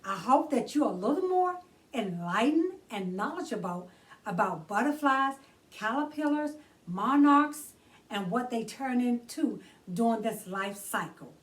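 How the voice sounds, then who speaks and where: High, animated woman reading a children's story slowly. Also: recorded from a distance. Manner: expressive, deliberate, drawn-out emphasis on key words. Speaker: children's storytime host and reader. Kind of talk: read-aloud children's story narration.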